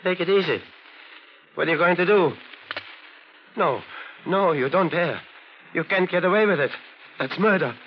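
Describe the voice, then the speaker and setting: High-pitched, panicky shopkeeper, old radio. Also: male voice, animated, normal speed, pauses often. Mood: alarmed pleading. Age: middle-aged. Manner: agitated, pleading. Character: frightened, blustering middle-aged shopkeeper held at gunpoint. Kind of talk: 1940s radio acting. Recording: muffled, noisy old radio recording.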